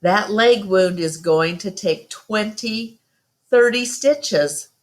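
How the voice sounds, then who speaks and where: A woman's voice: mid-range voice, takes it slowly, voice pushed up, natural voice. A woman storyteller voicing a kind-hearted, plainspoken older male country doctor. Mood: concerned.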